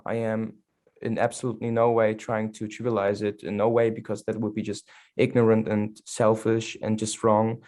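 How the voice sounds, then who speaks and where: A man's voice: fairly low pitch, monotone, normal speed, a little mellow. A man from Berlin, an actor speaking in a panel conversation, fluent, earnest and emphatic. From Berlin, Germany.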